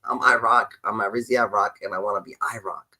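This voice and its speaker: A man's voice: fairly low pitch, steady tone, fairly quick, bright, forceful tone. A man in his twenties in casual livestream chat, talking emphatically and preaching, repeating catchphrases. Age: 20s.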